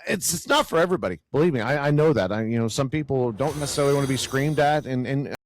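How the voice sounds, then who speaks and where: A man with a self-described growly, loud voice, speaking earnestly in a casual faith conversation and often repeating words as he searches for them. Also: mid-range voice, quick pace, a crowd in the background.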